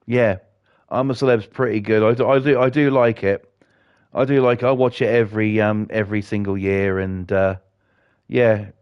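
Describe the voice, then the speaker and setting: A middle-aged British man chatting and ranting with lots of swearing while he plays a game and talks to viewers, his voice a bit croaky. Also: lowish voice, fairly even intonation, normal speed, dull audio. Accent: British English. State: slightly croaky. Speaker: gaming livestreamer. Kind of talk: casual chat while playing a game.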